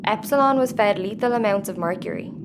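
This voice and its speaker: A woman's voice: mid-range voice, brisk, noisy background, close to an everyday voice. A woman playing a blunt, sardonic space crew member in a sci-fi audio comedy, with a dry, offhand delivery. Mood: explanatory.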